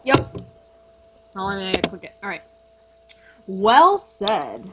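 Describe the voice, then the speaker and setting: A woman's voice: medium pitch, big pitch swings, measured pace, background noise. A young woman co-hosting a let's play, chatting casually with lots of fillers and easy laughs. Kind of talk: casual gaming commentary.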